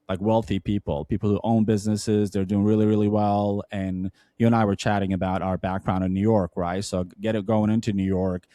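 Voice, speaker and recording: A man's voice: fairly low pitch, warm tone, no echo, clean studio sound. A man with a Turkish accent, a non-native English speaker, telling his story as a podcast guest in an earnest way, with many restarts and fillers. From Turkey. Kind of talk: conversational storytelling.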